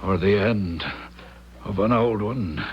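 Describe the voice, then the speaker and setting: An actor playing a blustering Irish gambler squire in a 1970s radio drama, with a stammering, theatrical delivery. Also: male voice, lowish voice, slow pace. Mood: agitated, grim. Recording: dull, noisy 1970s radio broadcast.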